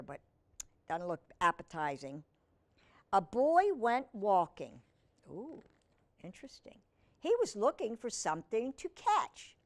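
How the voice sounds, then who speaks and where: Expressive woman reading slowly to children. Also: on the low side. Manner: expressive, slow deliberate reading with chatty asides and questions. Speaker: host of a children's read-aloud storytime. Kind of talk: reading a picture book aloud with casual asides to young viewers.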